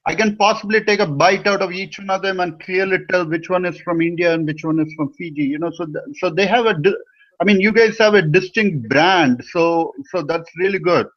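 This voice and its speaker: A man's voice: sits high, brisk, fairly bright tone. A man interviewing casually over an internet call, wordy and often repeating himself with "you know". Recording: dull lo-fi internet call audio.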